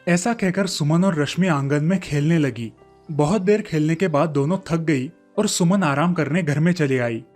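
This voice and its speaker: A man's voice: fairly high pitch, normal speed. A man narrating a scripted children's story as a storyteller. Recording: faint music under the voice. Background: soft background music.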